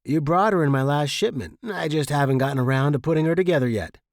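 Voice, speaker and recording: A man's voice: on the high side, quick pace, dry recording, studio quality, voice pushed up, natural voice. A man playing a wry, bantering lone space traffic controller in an audio story, given to mock-grand jokes. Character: wry, joking lone male traffic controller on tiny moon.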